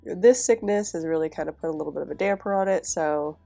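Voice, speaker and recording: A woman's voice: low-pitched, fast talker, creaky, soft background music. A young woman with a stuffy, nasal voice from a cold, chatting casually in a voice-over as she decorates a planner. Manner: chatty, relaxed, with soft laughs. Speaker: planner vlogger.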